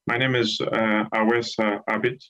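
A low-pitched man from Iraq speaks over a dull, lo-fi video call, his voice rough with gravel. He talks in a measured, hesitant way, pausing often on ums. He is a guest lecturer in his thirties joining a live studio show from a room at home. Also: little pitch movement, sharp tone.

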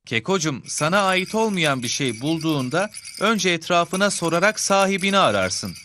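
A man's voice: on the high side, sing-song, normal speed, pushed and bright, a little hiss or hum. A man playing a kindly father in a children's cartoon, giving advice in an affectionate, instructive way. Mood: kindly advising. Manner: affectionate, didactic.

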